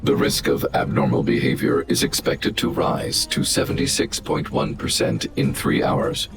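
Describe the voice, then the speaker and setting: A huge guardian robot, with an extremely low, creaky voice over prominent music. The delivery is formal and analytical, stern and protective, as in a video game. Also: male voice, level tone. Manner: formal, analytical, measured, stating probabilities. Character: large stern protective guardian robot, male, coldly logical. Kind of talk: video game character dialogue.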